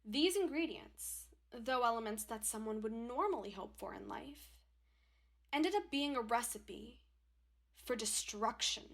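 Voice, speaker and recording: An overdramatic woman hosting a paranormal podcast in a radio drama. Her voice is on the high side and swings widely in pitch. She is theatrical and self-important, yet easily flustered. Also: lively intonation, unhurried. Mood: ominous, theatrical.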